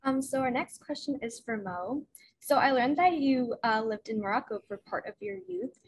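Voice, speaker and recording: Woman moderator, husky, bright pitch, polite question. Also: expressive, normal speed, low-quality recording. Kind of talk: reading audience questions.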